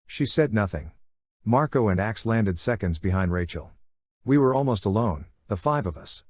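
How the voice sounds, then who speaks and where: A man reads a dramatic first-person story as an audiobook narrator. His voice sits fairly low and has a husky grain. The sound is very dry, with no room around it. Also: animated, moderate pace, darkish tone, no echo.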